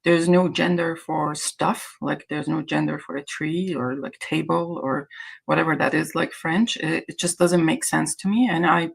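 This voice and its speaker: A woman's voice: low voice, steady tone, normal speed, creaky, husky. A woman from Iran whose native language is Azeri, hosting a casual livestream chat in a hesitant, rambling way. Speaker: livestream host.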